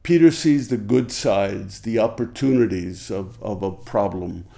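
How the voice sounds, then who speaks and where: Male tribute speaker, low and mellow, measured praise. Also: vocal fry, soft background music. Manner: measured and admiring, piling up qualities. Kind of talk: tribute interview.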